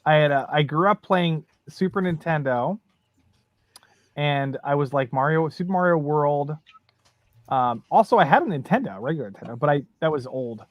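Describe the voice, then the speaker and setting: A man's voice: fairly high pitch, expressive, moderate pace, dry recording. Thirties male guest, upbeat and stumbling, dry close recording. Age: 30s. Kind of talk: casual gaming chat.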